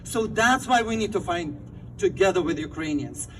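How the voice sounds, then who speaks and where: Man, Lithuanian accent, high voice, slow emphatic rally speech, distant mic outdoors. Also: expressive, fairly bright tone, a little hiss or hum. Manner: fervent, emphatic phrasing with rallying cries. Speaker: rally speaker.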